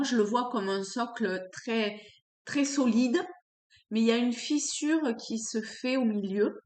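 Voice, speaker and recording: A woman with a cold doing an unscripted tarot reading, pausing often and searching for words. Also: a little high, reverberant. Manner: halting, searching for words with many fillers. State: has a cold. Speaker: tarot card reader.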